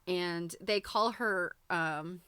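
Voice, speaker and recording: A woman host chatting casually on a livestream in an informal, halting way, thinking aloud as she goes. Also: fairly low pitch, bright, forceful tone, clean tone, no room sound. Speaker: host of a live quilting book show. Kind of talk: casual livestream chat, commenting while browsing a book.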